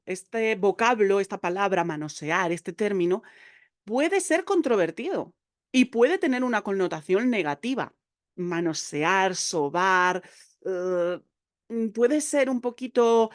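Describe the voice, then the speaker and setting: A woman gives a video lesson on brand voice with a medium-pitched voice. She talks straight to the viewer in a lively, chatty way, full of small fillers. The sound is close and dry. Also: unhurried, dry recording. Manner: lively, addresses viewer directly, many fillers. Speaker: copywriting teacher.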